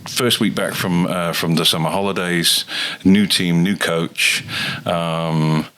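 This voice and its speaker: A rugby coach talking casually as a guest, with many ums and pauses. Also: male voice, deep voice, clear, resonant tone. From England. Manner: hesitant, many ums, relaxed. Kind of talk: casual interview chat.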